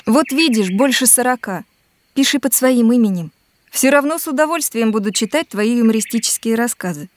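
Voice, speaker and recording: Casting: actress for concerned, sensible woman, slightly high voice, pleading and mildly reproachful; audio drama. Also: lively intonation, a little fast, no room sound, natural voice. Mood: concerned, coaxing. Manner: earnest, gently reproachful. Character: caring, sensible woman pleading with a restless man.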